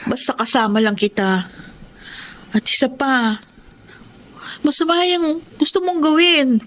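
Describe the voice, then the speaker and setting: A woman's voice: high-pitched, measured pace, fairly bright tone, a little hiss or hum. A woman playing a distressed young nurse who pleads with her mother and refuses her boyfriend in a radio drama. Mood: pleading. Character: young nurse, torn and tearful daughter. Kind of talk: Tagalog radio drama acting.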